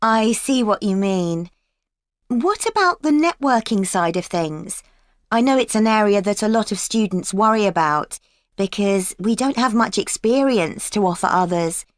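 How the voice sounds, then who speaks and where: An actress playing a keen young student in a scripted exam dialogue, agreeable and quick to laugh. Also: female voice, medium pitch, lively intonation, no room sound, natural voice. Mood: earnest. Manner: eager, agreeable, laughs often. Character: keen young female events-management student. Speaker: student planning a work placement. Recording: dry studio recording.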